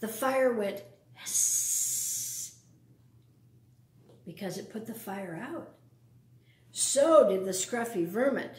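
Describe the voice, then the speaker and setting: A woman reads a children's story in a roomy, echoing space. Her voice is fairly high and very lively in pitch, and she often turns to the children with simple questions and explanations. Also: takes it slowly, long pauses. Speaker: storyteller reading a picture book to children. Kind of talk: read-aloud storytime with asides to young listeners.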